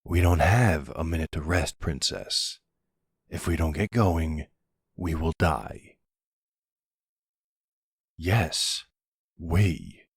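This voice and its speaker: A man playing a flirty, cocky vampire with a gravelly, husky voice, teasing the listener in an intimate ASMR roleplay. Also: low-pitched, no room sound, studio quality, heavily acted. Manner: teasing, sardonic drawl.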